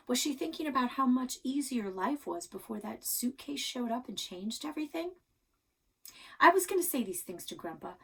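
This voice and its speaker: A woman reading a children's novel aloud in an expressive storytelling style. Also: medium pitch, slightly rough, low-quality recording. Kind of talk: expressive read-aloud narration.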